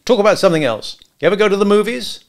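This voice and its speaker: A man's voice: high-pitched, lively intonation, rapid-fire, voice pushed up. A man doing a mocking, drawling gangster. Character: sardonic male gangster hitman, taunting.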